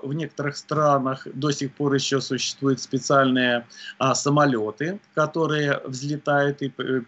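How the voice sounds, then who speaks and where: A man answering interview questions about weather observation, speaking in a measured way with frequent hesitations. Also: mid-range voice, a bit bright, lo-fi sound. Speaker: meteorologist guest.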